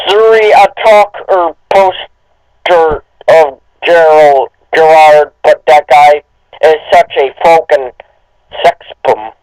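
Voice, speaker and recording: A man's voice: high voice, a little mellow, no room sound, rough recording. A man reading aloud as a co-host, casually, with joking commentary along the way.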